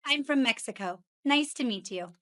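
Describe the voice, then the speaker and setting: A woman's voice: high-pitched, animated, normal speed, a bit bright. A woman voicing a friendly office colleague in a scripted English lesson dialogue. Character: friendly female office colleague welcoming a newcomer.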